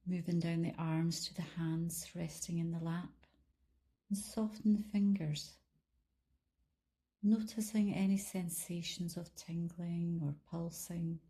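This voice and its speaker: A woman leading a guided body scan meditation in a calm, soothing, unhurried manner, leaving long pauses between instructions. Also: lowish voice, breathy edge. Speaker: meditation guide, life coach.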